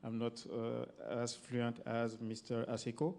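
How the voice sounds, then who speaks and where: A man with a French accent, a government minister giving a formal speech in a slow, measured way with hesitant pauses. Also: mid-range voice, completely flat delivery, gravelly, a little mellow. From French-speaking African country.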